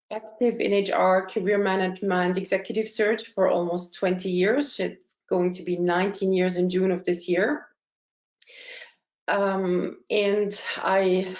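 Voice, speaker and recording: A woman's voice: medium pitch, darkish tone. Woman in a roomy webinar. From Austria. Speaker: career consultant, webinar presenter. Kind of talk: webinar presentation. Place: indoor room, online call. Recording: dull, roomy online webinar audio.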